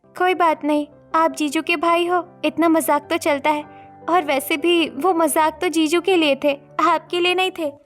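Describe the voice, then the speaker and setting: Cartoon role: mischievous sharp-tongued younger sister voiced by a woman, very high pitch, music behind. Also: steady tone, unhurried. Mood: friendly. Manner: pert, cheeky.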